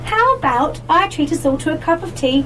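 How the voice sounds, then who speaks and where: An amiable, nostalgic lady acted by a woman in a radio play. She speaks in a high voice over faint background noise. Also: expressive, a little fast, pushed and bright. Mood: friendly. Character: amiable, nostalgic female holiday companion. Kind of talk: live amateur radio play acting.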